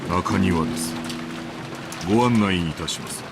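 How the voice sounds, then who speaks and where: A man's voice: sits low, lively intonation, fairly quick, noisy background. A man voicing an elderly servant in a visual novel game, formal, composed and very polite. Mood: composed, deferential. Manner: very polite, reserved.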